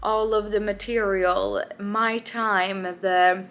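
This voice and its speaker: A woman's voice: mid-range voice, steady tone, slow, a bit bright. A young Swedish woman, an artist, talking to the camera in her home studio with a Swedish accent, hesitant and searching for her words in English, her second language. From Sweden. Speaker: artist vlogger. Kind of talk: casual talking-to-camera vlog. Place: home art studio, indoors.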